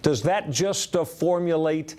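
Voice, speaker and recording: An American man hosting a sports interview, asking long, winding questions in a halting, conversational way. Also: a little high, darkish tone. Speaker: sports show host interviewing a guest.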